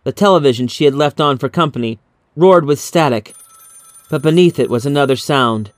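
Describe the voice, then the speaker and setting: A man's voice: fairly high pitch, normal speed, dry recording. A man reading a horror story as an audiobook narrator, in a dramatic scripted style.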